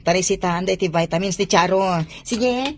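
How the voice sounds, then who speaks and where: A woman's voice: fairly low pitch, normal speed, slight background noise, natural voice. An actress with an Ilocano accent playing an earnest, protective woman in a radio drama, with emphatic delivery. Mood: matter-of-fact.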